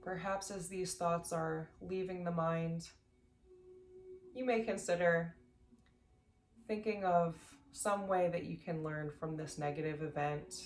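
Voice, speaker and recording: A woman leading a guided sleep meditation as an instructor, speaking gently in slow, measured phrases with long pauses. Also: lowish voice, fairly even intonation, a little hiss or hum. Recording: roomy echo, faint music behind.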